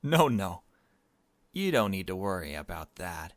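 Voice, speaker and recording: A man voicing an evasive father in a visual novel, speaking gently and hesitantly to his young daughter. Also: low voice, big pitch swings, a little slow, no room sound.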